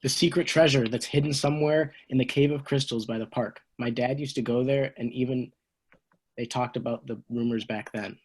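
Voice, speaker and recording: Man reading as insistent high school senior. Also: steady tone, brisk, a little mellow, slightly rough, close to an everyday voice, poor audio. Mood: insistent, eager. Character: insistent male high school senior, eager treasure believer. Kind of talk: amateur table read. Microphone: video call.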